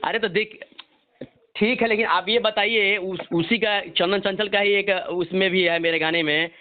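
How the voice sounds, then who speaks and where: A man's voice: high voice, steady tone, unhurried. A man with a Bihari accent, a singer, explaining himself defensively and insistently over the phone, often repeating himself. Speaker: Bhojpuri singer. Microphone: telephone. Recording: narrow muffled phone-call recording.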